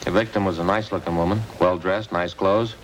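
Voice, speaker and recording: A man's voice: low voice, steady tone, quick pace, background noise, lightly acted. An actor playing a blunt, practical male detective partner in a 1950s radio crime drama.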